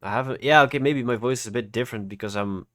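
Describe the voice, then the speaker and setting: A man's voice: mid-range voice, quick pace, a bit bright. A man hosting a casual live tutorial, congested from hay fever, explaining informally and often checking that listeners follow. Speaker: trading livestream host and teacher. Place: voice-over on an online livestream.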